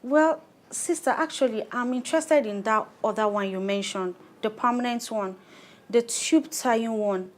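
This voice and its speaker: A woman's voice: on the high side, unhurried, lightly acted. A woman with a Nigerian accent playing a harried but determined thirty-year-old mother in a radio drama, earnest and plain-spoken. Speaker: clinic client in an educational radio play.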